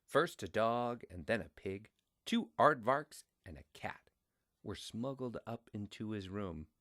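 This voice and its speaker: A man reading a children's story aloud in a deliberate, expressive way. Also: fairly low pitch.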